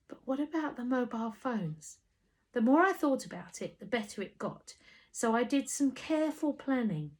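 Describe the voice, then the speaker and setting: A woman reading a children's story aloud in an animated way, with dramatic emphasis. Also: on the high side. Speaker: storybook reader. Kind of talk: expressive scripted children's story narration.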